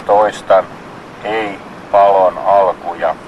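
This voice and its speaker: Low-voiced man dictating radio messages over noise. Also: steady tone, highs cut off. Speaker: radio operator in an emergency exercise.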